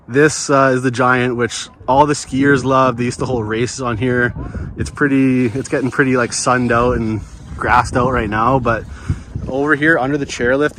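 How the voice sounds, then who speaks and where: A man's voice: medium pitch, a bit bright. A young man chatting casually and slangily outdoors, rambling and musing in a laid-back way. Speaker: vlogger snowboarding at a ski hill. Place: outdoors on a ski slope and chairlift. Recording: clear outdoor ambient noise on ski hill.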